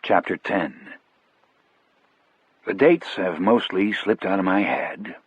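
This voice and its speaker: Husky male audiobook narrator, low-pitched. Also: takes it slowly, no echo, muffled recording. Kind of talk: scripted first-person story narration.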